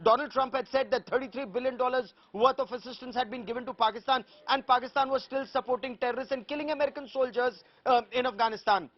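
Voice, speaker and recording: A man's voice: extremely high pitch, fast talker, hardly any pauses, muffled recording. A man with an Indian accent giving live news analysis as a correspondent, speaking emphatically and assertively.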